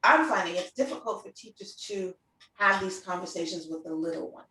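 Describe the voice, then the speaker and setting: A woman's voice: fairly low pitch, brisk, pushed and bright. A middle-aged woman chatting casually as an interview host, telling stories and acting out what people said. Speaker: interview host and preschool teacher. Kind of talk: casual conversational chat. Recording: roomy echo, distant from the mic.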